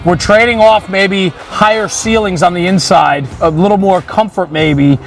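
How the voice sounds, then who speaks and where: A man's voice: high-pitched, fairly even intonation, a little slow, creaky, soft background music. An American man hosting an on-location interview, affirming his guest and using trade jargon. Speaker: interviewer, host. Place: outdoors at a fire truck.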